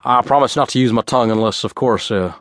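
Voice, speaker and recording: A man puts on a slick male rock-and-roll lookalike for an audiobook. The drawl is Southern American and teasing, at a medium pitch. The sound is dry. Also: quick pace, fairly bright tone, no room sound, close to an everyday voice.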